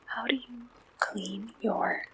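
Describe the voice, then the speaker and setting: A woman whispering an ASMR roleplay as an attentive, businesslike dating service agent, asking questions softly and deliberately in a breathy voice. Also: mid-range voice, heavily acted, low-quality recording. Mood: gentle, attentive.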